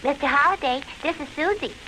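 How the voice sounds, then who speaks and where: A woman's voice: high voice, fairly quick, sharp tone, low-quality recording. A woman playing an earnest, naive young secretary in a 1940s radio drama. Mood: earnest, innocent. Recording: vintage radio recording, faint noise.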